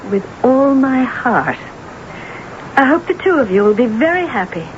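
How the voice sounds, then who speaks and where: A remorseful, earnest wife in a radio play, halting over her words. Her voice swings expressively in pitch, on a dull old recording with faint noise. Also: very animated. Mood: resigned, self-effacing. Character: remorseful wife who believes she has the evil eye. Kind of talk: radio drama acting.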